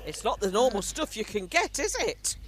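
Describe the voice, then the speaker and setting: A woman's voice: medium pitch, very animated, moderate pace, a little hiss or hum, close to an everyday voice. A woman playing a scolding but fond older British grandmother in a wartime radio play, chiding in a colloquial way. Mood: suspicious.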